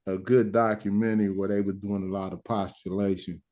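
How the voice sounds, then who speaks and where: A man's voice: lowish voice, flat delivery, fairly quick, darkish tone. A man hosting casual group chat on a stream, talkative and laid-back.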